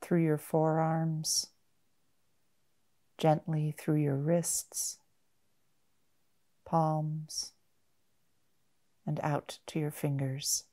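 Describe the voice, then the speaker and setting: A woman guiding a meditation in a gentle, measured manner, with long pauses between short phrases. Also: low voice, little pitch movement. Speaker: mindfulness meditation guide. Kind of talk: guided body-scan meditation.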